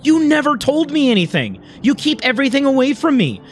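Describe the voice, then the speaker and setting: A man's voice: high voice, big pitch swings, normal speed, slight background noise. A man playing a bitter, insecure young man in a dramatic story, speaking with intense emotion. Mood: resentful. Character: bitter, insecure young human man, angry and self-loathing.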